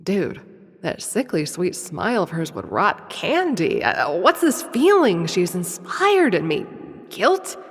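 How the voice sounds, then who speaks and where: A woman's voice: on the low side, wildly swooping pitch, slow, breathy edge, no echo, studio quality, heavily acted. A woman playing a sarcastic, flustered schemer who narrates a comedic audio drama. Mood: wry. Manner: wry, improvising, awkward.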